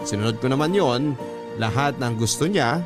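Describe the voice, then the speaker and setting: Male Filipino radio drama narrator, mid-pitched, first-person storytelling over a clear music bed. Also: animated, normal speed. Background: background music.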